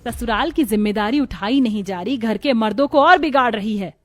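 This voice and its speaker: A woman voicing a jealous, sharp-tongued elderly village mother-in-law in a cartoon, nagging and taunting. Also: a little high, brisk, no echo, lightly acted.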